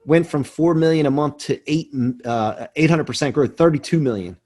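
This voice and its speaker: A man's voice: fairly high pitch, normal speed, warm tone. A man in his forties speaking emphatically and motivationally as an interview guest, with a driven manner, repeating words for stress. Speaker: podcast guest, performance coach and business manager.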